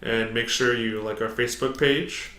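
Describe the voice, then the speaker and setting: Booming young man in an echoey room. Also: fairly even intonation, moderate pace. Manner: loud, booming, joking. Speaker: podcast co-host. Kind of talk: casual sports podcast chat. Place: open basement. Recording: echoey room, faint noise.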